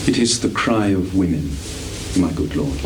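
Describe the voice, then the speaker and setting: An actor playing a deferential, grave king's attendant in live Shakespearean stage drama, formal and measured. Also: deep voice, animated. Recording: noisy 1980s live theatre recording.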